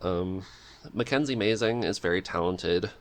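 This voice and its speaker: A man's voice: sits low, normal speed. A man hosting a casual interview chat, speaking in a hesitant, agreeable and informal way as he goes. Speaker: podcast co-host reading questions.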